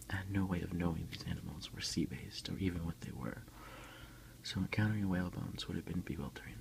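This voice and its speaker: Man, ASMR creator, low pitch, very heavy vocal fry, soft whispering, unhurried, close-miked, reading aloud. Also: rough recording.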